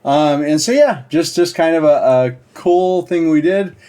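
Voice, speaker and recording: A man presenting a casual screen-share software demo in an informal, halting, explanatory way. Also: fairly high pitch, smooth. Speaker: presenter demonstrating a software project.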